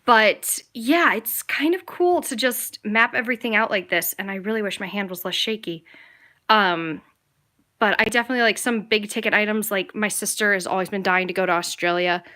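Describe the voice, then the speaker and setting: Young American female vlogger, bright crisp-edged voice, mid pitch, enthusiastic, rambling, informal live Q&A delivery. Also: moderate pace. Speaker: travel vlogger and travel agent. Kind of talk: casual live Q&A chat to viewers.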